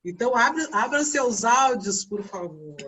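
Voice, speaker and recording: A woman's voice: on the high side, big pitch swings, moderate pace, a bit bright, a little hiss or hum. A woman with a Brazilian accent teaching an online class informally, often checking in with short tag questions. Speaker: teacher leading a theatre voice class.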